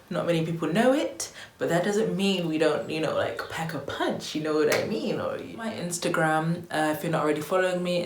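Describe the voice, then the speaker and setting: Young woman from Tanzania, casual upbeat vlogger, low-pitched, slight room echo. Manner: chatty and upbeat, loose with fillers like "um" and "you know". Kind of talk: casual vlog chat to camera. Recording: echoey room with faint noise.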